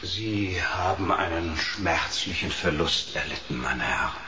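An actor playing an unctuous, mock-solemn undertaker with obsequious, formal condolences, in a German radio drama. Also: male voice, low-pitched, measured pace, heavy background noise.

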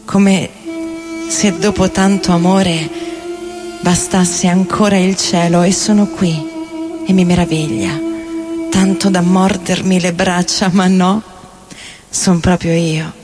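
Woman, theatrical poetry reading, very slow pace, short deliberate phrases, prominent music behind. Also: on the low side, fairly even intonation. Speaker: theatre reader and vocal performer. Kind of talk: poetic theatrical recitation over music. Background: experimental guitar and electronic loops.

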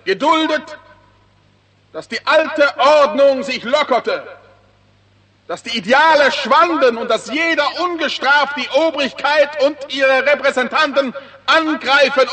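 An actor playing a pompous, demagogic prime minister delivering a declamatory funeral oration in a 1960s radio play, in a reverberant hall. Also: male voice, extremely high pitch, pushed and bright, exaggerated voice. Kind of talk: solemn political oration, 1960s radio acting. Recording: long hall reverb of public address.

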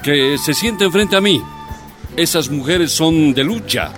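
An actor playing a sympathetic, resolute populist general and president giving a solemn speech in a radio drama. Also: male voice, a little high, expressive, a little slow. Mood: solemn, reassuring. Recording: reverberant hall, faint music behind.

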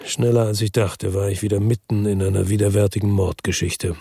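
A world-weary ex-policeman telling his own crime story for a radio play. His voice is low and husky, recorded dry and close. He speaks soberly, in few words. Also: flat delivery, fairly quick, dark tone, no room sound. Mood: weary, reflective. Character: world-weary male ex-policeman from Marseille, narrating his murder case. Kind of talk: first-person radio drama narration and dialogue.

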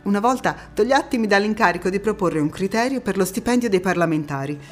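An actress playing a proud, spirited young female parliamentarian recounting memories in an expressive, emphatic stage monologue. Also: mid-range voice, faint music behind, close to an everyday voice. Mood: lively, amused. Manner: expressive, deliberate, emphatic phrasing. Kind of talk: theatrical first-person monologue.

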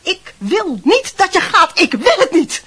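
Bezorgde moeder, hoge beweeglijke stem. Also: female voice, very high-pitched, wildly swooping pitch, measured pace, a bit bright, exaggerated voice. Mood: upset, scolding. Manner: fussing, emotional, pleading. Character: worried, scolding, protective mother of a student. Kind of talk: radio play acting in Dutch.

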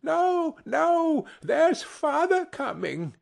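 These are boisterous little storybook children, performed by a man, squealing high with excitement. The voice leaps about in big swoops and bursts out in exclamations. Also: drawn-out words, gravelly, heavily acted. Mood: urgent excitement. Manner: exclamatory, overexcited. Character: two excited small children, boy and girl, shrill and boisterous.

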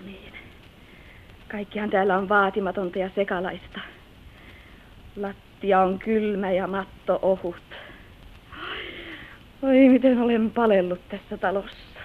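An actress playing an unhappy young wife, torn and weary of poverty, in a plaintive, theatrical old radio drama style. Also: female voice, mid-range voice, close to an everyday voice. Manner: plaintive, slow theatrical delivery. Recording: old muffled narrow-band radio recording, hissy.

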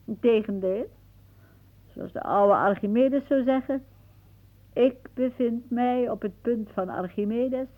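A woman's voice: on the high side, slow pace. An actress playing a wry, philosophising older woman in a radio play, musing drily and easily irritated. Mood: philosophical, dry. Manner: musing, dryly aphoristic. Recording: muffled, phone-like old radio recording.

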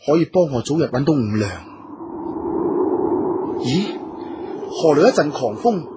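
An actor playing a dutiful, filial scholar-official in a radio drama, speaking measured, formal Cantonese with a husky voice. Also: male voice, a little high, very animated, very noisy. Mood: wistful. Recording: music under the voice.

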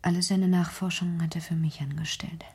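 Husky, hushed, defiant daughter. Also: female voice, lowish voice, fairly even intonation, a little fast. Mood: insistent. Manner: intense, insistent, accusing. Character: sheltered, defiant 38-year-old daughter confined at home. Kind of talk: German radio drama acting.

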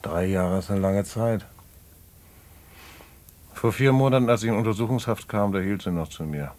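Radio drama: male actor as jailed petty crook, low-pitched voice, colloquial, rueful, streetwise and fatalistic. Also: moderate pace, a little mellow, natural voice. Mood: confident, reminiscing. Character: streetwise male small-time crook in jail, wistful, fatalistic. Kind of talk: naturalistic German radio play acting.

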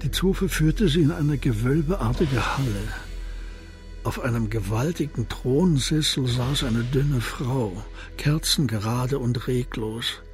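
A man's voice: medium pitch, slow. A man reading scripted narration for a German crime radio play. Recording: faint music behind voice.

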